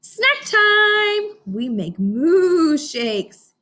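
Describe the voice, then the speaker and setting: A woman teacher reading a picture book aloud to young children, expressive, often pausing to question her listeners directly. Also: extremely high pitch. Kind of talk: informational read-aloud lesson for young children.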